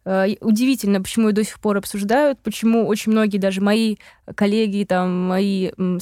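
A woman's voice: medium pitch, a little mellow, no room sound, studio quality. A young woman answering interview questions about her internship, with frequent hesitations and restarts. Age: young adult. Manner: hesitant, many fillers and restarts.

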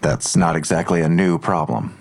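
An actor playing a sardonic, defiant police detective in an audio drama, answering curtly and dryly. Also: male voice, deep voice, normal speed, creaky, darkish tone. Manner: curt, dry, evasive.

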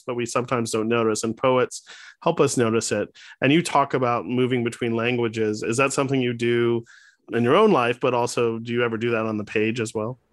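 A man's voice: mid-range voice, fairly bright tone, dry recording. A man hosting a conversation with a poet, musing aloud and asking open, curious questions. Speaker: interview host. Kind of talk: conversational interview.

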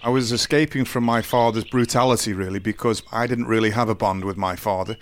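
A man in his forties with a deep voice, telling his life story slowly and earnestly in an interview, often restarting his sentences. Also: fairly even intonation, a little hiss or hum. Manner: slow, halting, earnest storytelling with many restarts. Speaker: interview guest. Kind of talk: candid personal interview.

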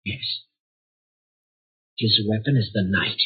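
An actor playing a desperate middle-aged man tormented by fear, halting and overwrought in 1950s radio drama style. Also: on the low side, slightly rough, heavily acted. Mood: bleak, haunted. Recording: muffled old-time radio recording, narrow band.

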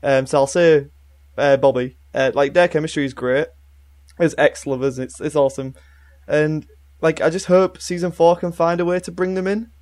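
A man's voice: a little high, moderate pace, a little mellow, no echo, clean studio sound. A man co-hosting a podcast, chatting casually and earnestly as a fan, with hesitant, repeated starts. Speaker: podcast co-host.

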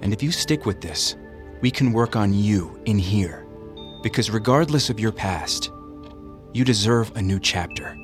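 An actor playing a supportive, earnest male counselor in an audio drama, measured and encouraging. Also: fairly low pitch, animated. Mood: reassuring. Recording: music behind the voice.

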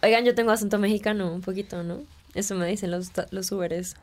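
A woman's voice: mid-range voice, steady tone, fast, darkish tone. A woman guest in a podcast studio, chatting playfully, laughing often and slipping in English words. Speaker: guest artist. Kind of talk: casual interview chat.

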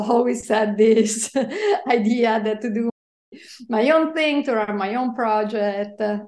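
A woman with an Italian accent talks about her work in a conversational interview, pausing often with filler sounds. Her voice carries a noticeable roomy echo. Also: on the high side. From Italy. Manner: halting with many fillers, laughs softly. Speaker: guest, marine biologist.

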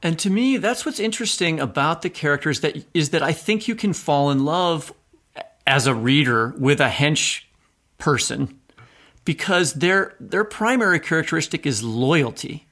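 A man's voice: a little high, animated, moderate pace, a bit bright. A man co-hosting a podcast, talking casually about books and thinking aloud as he makes his case. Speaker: podcast co-host. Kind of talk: casual literary discussion.

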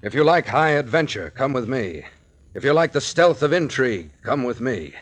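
An actor playing a quiet, steady adventure captain in a 1940s radio drama. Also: male voice, mid-range voice, moderate pace, dry recording. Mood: calm. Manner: quiet, soothing.

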